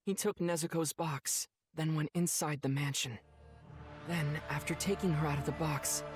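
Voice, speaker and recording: Husky, earnest teenage boy hero. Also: on the high side, fairly even intonation, normal speed, faint music behind. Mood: somber narration. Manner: earnest, heartfelt, softly reflective. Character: earnest, emotional teenage boy demon slayer, devoted brother. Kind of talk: anime game narration, reflective storytelling.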